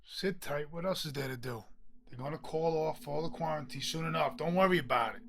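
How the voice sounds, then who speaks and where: A man's voice: fairly high pitch, fairly quick, lightly acted. An actor playing a stubborn, argumentative husband and father in a naturalistic audio drama, with a sarcastic, conversational delivery. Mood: dismissive. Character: stubborn, exasperated husband and father under quarantine.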